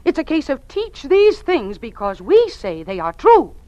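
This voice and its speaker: An actress playing an earnest, troubled young schoolteacher in an old-time radio drama, with careful, precise diction. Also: female voice, high voice, wildly swooping pitch, measured pace, warm tone, no echo, full character voice. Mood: troubled, indignant. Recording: muffled old radio recording.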